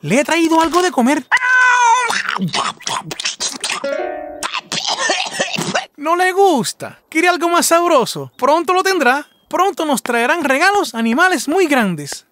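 A woman voicing a sly, coaxing female fox in a cartoon, with a theatrical, flattering manner. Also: high voice, normal speed, hardly any pauses, very noisy. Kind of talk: cartoon voice acting.

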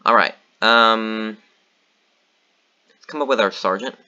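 A man's voice: fairly low pitch, slow pace, dull audio. A man giving casual commentary on his own board game match, thinking aloud with lots of ums and uhs. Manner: thinking aloud, relaxed, many fillers.

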